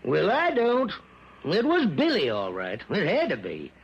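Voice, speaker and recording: A man's voice: high-pitched, wildly swooping pitch, breathy edge, exaggerated voice. An actor in an old-time radio drama playing a worried, rambling small-town mayor and newspaperman with a rural American accent. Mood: anxious, insistent. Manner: rambling, folksy, emphatic drawn-out storytelling. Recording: muffled old radio recording, faint noise.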